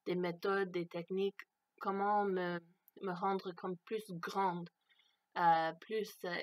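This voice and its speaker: A woman's voice: lowish voice, steady tone. A woman for whom French is not her first language, speaking unscripted to camera, hesitant and searching for words with many fillers. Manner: slow, thoughtful. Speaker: vlogger. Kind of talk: unscripted personal talk to camera.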